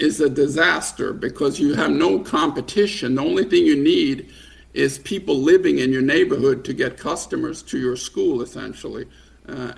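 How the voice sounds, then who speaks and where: A man's voice: medium pitch, gravelly. A man from Sweden, an economist guest on a radio panel, arguing his point with many fillers and restarts.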